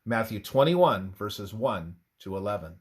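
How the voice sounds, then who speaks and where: Slow, husky male reader with swinging intonation. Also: lowish voice, sing-song. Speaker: reader of a religious presentation. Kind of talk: scripted religious narration, read aloud.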